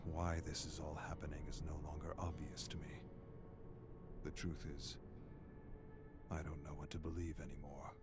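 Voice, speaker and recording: An actor playing a grim, weary archivist in a video game, narrating dark tales in an ominous, deliberate manner. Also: male voice, rumbling low, little pitch movement. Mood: weary, brooding. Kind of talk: scripted dramatic storytelling narration. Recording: music behind the voice.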